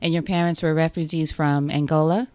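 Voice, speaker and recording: A woman's voice: sits low, steady tone, brisk, a little mellow, dry recording, studio quality. A woman hosting a podcast, introducing her guest and asking questions in an encouraging manner. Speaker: podcast host. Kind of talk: podcast interview.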